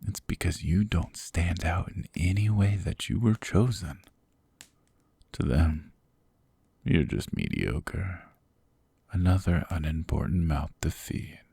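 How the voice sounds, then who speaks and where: A cold, possessive demon king, voiced by a man, looms over his captive in a slow, condescending drawl, dropping into gravelly whispers right at the ear. His voice sits extremely low and very husky, with a rough fry on the ends of phrases. The close ASMR recording is dry, with no room around it. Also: animated, mellow, dry recording, heavily acted. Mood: cold disdain. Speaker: voice actor. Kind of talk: ASMR roleplay audio.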